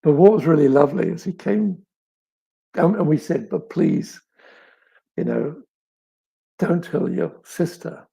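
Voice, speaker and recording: A middle-aged man with a crackly, raspy voice, as if with a frog in his throat, chatting reflectively as an author and telling stories with thoughtful pauses and emphasis. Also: a little high, mellow. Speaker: author answering audience questions. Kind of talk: reflective live chat, storytelling.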